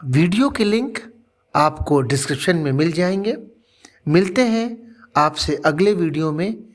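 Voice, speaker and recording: Male storyteller, very animated intonation, slow pace, slightly high voice, earnest scripted narration. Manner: deliberate, earnest, dramatic phrasing. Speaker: storyteller and channel presenter.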